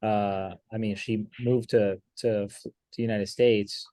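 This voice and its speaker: A man's voice: lowish voice, slow pace, no echo. A young man from Miami, a pool player guest, talking casually and rambling with plenty of fillers. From Miami, Florida. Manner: relaxed, rambling with many fillers. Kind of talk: casual interview chat.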